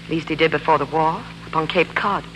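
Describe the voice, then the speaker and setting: A guarded American career woman, sceptical and no-nonsense, with a bright, forceful voice and clipped delivery. The old radio drama recording has a clear bed of noise and hum. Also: sits low, fairly even intonation, fast. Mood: guarded, apologetic. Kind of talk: 1940s radio acting. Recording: noisy, humming 1940s radio recording.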